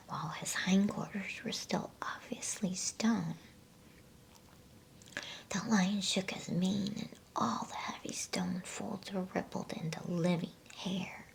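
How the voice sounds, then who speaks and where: A woman whispering breathily and gently in a hushed ASMR style, as a host reading a bedtime storybook. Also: low-pitched, creaky. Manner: gentle, slow, hushed delivery.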